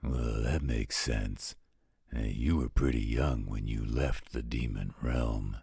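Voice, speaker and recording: Very deep, gravelly werewolf guardian. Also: male voice, steady tone, dark tone, no echo, studio quality, heavily acted. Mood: protective, grumbling. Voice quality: gravelly, husky. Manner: slow, grumbling, disapproving. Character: gruff overprotective male werewolf guardian, grumbly, parental. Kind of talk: scripted roleplay audio drama.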